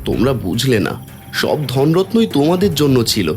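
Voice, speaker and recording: A man's voice: mid-range voice, very animated, moderate pace, darkish tone, faint music behind, lightly acted. A man voicing an honest, beleaguered poor farmer in a cartoon, resigned in tone. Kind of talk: Bengali cartoon voice acting.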